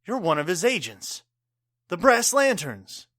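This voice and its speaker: A man's voice: high voice, big pitch swings, unhurried, fairly bright tone, slight background noise, exaggerated voice. An actor playing a proud, wounded war veteran father and bartender in an audio drama, speaking earnestly and tersely. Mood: wary.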